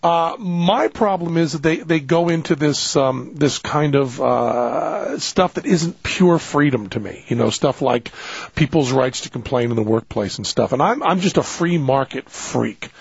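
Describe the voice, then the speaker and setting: A man with a Western Massachusetts accent, hosting a radio chat in a gravelly, husky voice. He tells stories animatedly and throws his pitch up for the punchlines. The sound is dry and slightly dull, like broadcast radio. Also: measured pace, no room sound. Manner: animated storyteller, acts out quotes. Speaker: radio show host.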